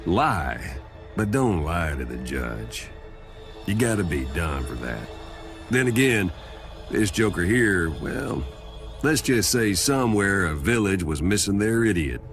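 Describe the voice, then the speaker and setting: An actor playing a wry old talking skull who narrates a game's cutscenes in a folksy, sardonic Southern American drawl. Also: male voice, low voice, sing-song, slow pace, exaggerated voice. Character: wry old talking skull, male demonic drifter storyteller. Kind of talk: scripted game cutscene narration. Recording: music behind the voice.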